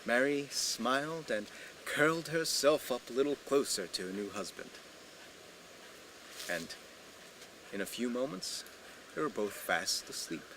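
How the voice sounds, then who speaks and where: A man telling a folk tale in a theatrical, deliberate way with emphatic pauses, recorded at a live storytelling event. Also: medium pitch, background noise. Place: live evening storytelling program, filmed.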